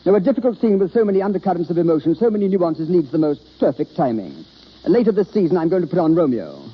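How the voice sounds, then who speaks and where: Pompous, swooping, vain stage star. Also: male voice, high voice, big pitch swings, quick pace, slightly rough, exaggerated voice. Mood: self-satisfied. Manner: grandiose, self-important. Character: vain, pompous leading stage actor, a man. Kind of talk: 1930s American radio acting. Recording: muffled old radio broadcast.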